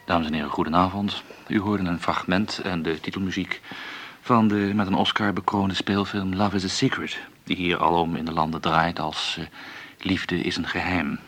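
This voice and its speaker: An actor playing a young, deferential radio interviewer in a radio play, speaking formally and with polite hesitation. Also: male voice, sits low, little pitch movement, moderate pace, few pauses, a bit bright. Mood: formal, presenting. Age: young adult. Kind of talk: radio drama played as a portrait interview.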